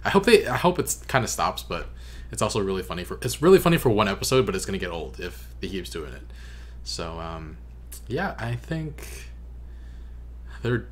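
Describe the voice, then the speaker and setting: A young man hosts a casual reaction video in a low voice that jumps around in pitch. He is amused and halting, and a faint hum sits behind him. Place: small bedroom. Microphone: desk microphone close by.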